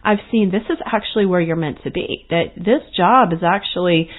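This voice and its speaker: A woman's voice: on the low side, moderate pace. A woman hosting her own podcast, talking earnestly and reflectively about life paths and now and then acting out the thoughts of her clients. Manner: earnest, reflective, with quoted inner voices. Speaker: podcast host and coach. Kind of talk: solo podcast monologue. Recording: phone-like narrow sound.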